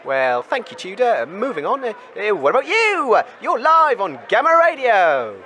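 A man acts out an eager roving reporter in a comic radio drama. The voice sits high and swoops wildly up and down. He is flustered, hesitant and excitable. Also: normal speed, slight background noise, full character voice. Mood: curious, bemused. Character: excitable, bumbling male wasteland radio reporter. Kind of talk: comedic radio show reporting.

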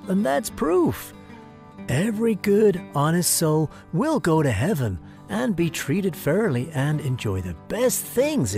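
A man voicing a gentle middle-aged saint in a cartoon, who explains things patiently. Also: a little high, full character voice. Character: calm, gentle middle-aged male saint at heaven's gate.

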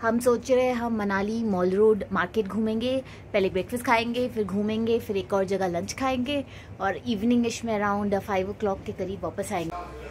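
A woman's voice: medium pitch, normal speed, a little mellow. A young woman from India vlogging a road trip, chatting casually and slipping English words into her Hindi. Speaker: travel vlogger. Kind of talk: casual travel vlog narration. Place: outdoors in the mountains and markets. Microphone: handheld phone camera at arm's length. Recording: noisy outdoor phone recording.

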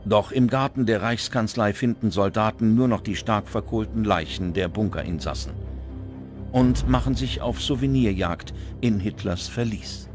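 A man reading scripted documentary narration in a measured, dramatic way, recorded as a studio voice-over. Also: lowish voice, music in the background.

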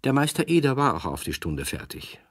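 An actor playing a wry male storyteller, narrating a children's audio drama in a husky voice. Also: mid-range voice, sing-song, a little fast, fairly bright tone, a little hiss or hum. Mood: wry storytelling.

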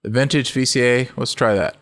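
A middle-aged man with a low voice hosting a casual music-production walkthrough, relaxed and rambling, often correcting himself mid-sentence. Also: brisk. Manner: relaxed, rambling, self-correcting, laughs easily. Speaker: music production tutorial host. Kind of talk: casual screen-recorded walkthrough.

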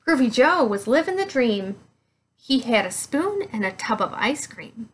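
High, animated woman reading to children. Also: breathy edge. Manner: expressive, deliberate, emphatic phrasing for children. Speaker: nurse reading a children's picture book. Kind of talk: children's read-aloud storytelling.

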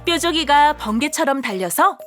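A woman narrating a scripted storybook for young children in an animated, expressive manner. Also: high-pitched, normal speed. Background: children's background music.

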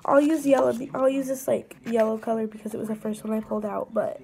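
A young woman vlogger with a stuffy nose from a cold, chatting excitedly as she unboxes things at a table. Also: on the high side, fast talker, creaky. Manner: chatty, excitable, rambling with fillers. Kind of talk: casual unboxing chat. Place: indoors at a wooden table.

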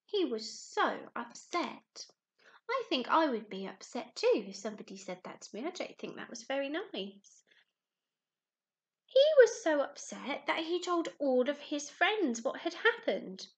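A young woman talks and reads to small children as their teacher. Her voice is high, with lively swings in pitch. She phrases things simply and expressively, often putting questions to the listener. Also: measured pace. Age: young adult. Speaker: primary school teacher. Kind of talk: children's story time, talking to young pupils and reading aloud. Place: small room at home, indoors.

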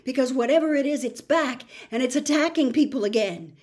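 A woman's voice: high voice, brisk, a little mellow. A woman voicing an anxious schoolboy hero in a storybook, urgent and pleading. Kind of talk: storybook read-aloud character voice.

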